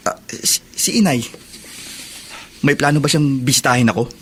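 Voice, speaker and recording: A jailed younger brother with a Filipino accent, defiant but hurt underneath, acted by a man. His pitch is middling and the delivery is radio drama. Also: steady tone, moderate pace, fairly bright tone, a little hiss or hum, lightly acted. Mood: hurt, wounded.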